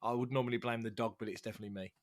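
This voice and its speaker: A man's voice: mid-range voice, fairly even intonation, racing. A man guesting on a podcast who is getting over a cold, sounding bunged up, chatting and telling anecdotes in a self-deprecating way. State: congested from a cold. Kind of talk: casual podcast chat.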